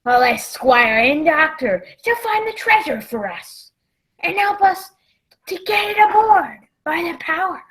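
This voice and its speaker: A woman playing a sly, commanding male pirate ringleader in a radio play, with folksy, drawn-out seafaring talk. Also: sits high, big pitch swings, a bit bright, heavily acted, poor audio.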